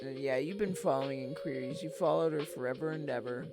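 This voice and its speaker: A tired young man streaming, chatting loosely with viewers and reading chat aloud, his strained throat a little raspy. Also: medium pitch, fast. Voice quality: slightly raspy. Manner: rambling, giggly, reads chat aloud. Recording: music playing behind voice.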